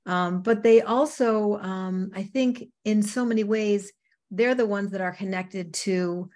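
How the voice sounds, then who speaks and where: A woman's voice: mid-range voice, darkish tone. Talkative woman on a video call. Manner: brisk and talkative, with many fillers. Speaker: real estate agent, panelist. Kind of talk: panel discussion on a video call. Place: home office with bookshelves. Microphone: video call webcam.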